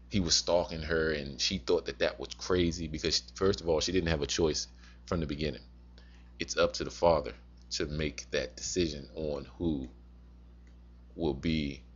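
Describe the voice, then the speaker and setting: Very deep-voiced man in muffled audio. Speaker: religious teacher advising men. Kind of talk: informal sermon-like advice talk.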